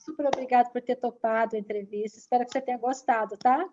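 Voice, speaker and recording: A woman interviewer with a somewhat high voice, asking friendly, brief questions. Her video-call audio sounds dull and lo-fi. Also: fairly even intonation, quick pace, warm tone, a little hiss or hum. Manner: friendly, short affirmations. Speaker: interviewer host.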